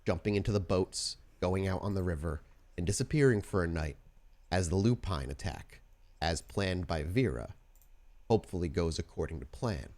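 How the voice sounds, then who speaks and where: Male game storyteller, lowish voice, informal podcast hosting that moves into deliberate narrative scene-setting. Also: lively intonation, a little mellow.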